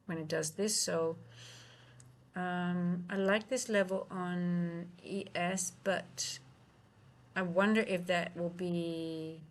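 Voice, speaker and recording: Dutch lady, native dutch, on a trading stream, kinda low voice, thinks out loud, stops and restarts a lot. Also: vocal fry. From Netherlands. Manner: thinking aloud, halting, hums to herself. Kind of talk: live trading commentary.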